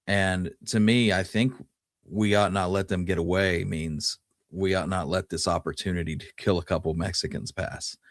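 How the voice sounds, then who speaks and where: Deep-voiced man telling a history story. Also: steady tone, normal speed, dry recording, clean studio sound. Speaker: podcast co-host telling the story.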